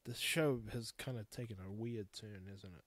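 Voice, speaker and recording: A man's voice: on the low side, moderate pace, dry recording. Blunt, swearing New Zealand man in his thirties. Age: 30s. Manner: blunt, swears freely, rambling and heartfelt. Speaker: podcast host. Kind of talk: candid solo podcast monologue.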